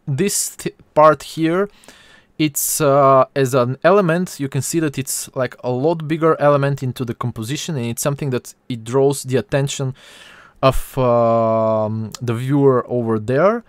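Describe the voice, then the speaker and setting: Clear-voiced Bulgarian man, halting delivery. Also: mid-range voice, no room sound, clean studio sound. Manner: halting, hesitant delivery with frequent pauses. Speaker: 3D artist hosting a stream. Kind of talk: informal live tutorial with Q&A.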